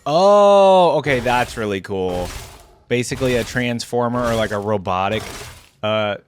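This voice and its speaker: A man's voice: mid-range voice, animated, unhurried, a little hiss or hum. A man giving casual reaction commentary as a video host, musing and thinking aloud. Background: game music and sound effects.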